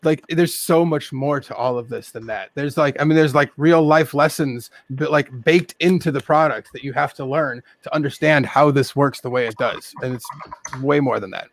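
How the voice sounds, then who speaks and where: A man's voice: mid-range voice, moderate pace. A man co-hosting a casual stream, talking eagerly and filling his sentences with "like". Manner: fills speech with "like", excited. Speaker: regular co-host. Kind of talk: casual chat.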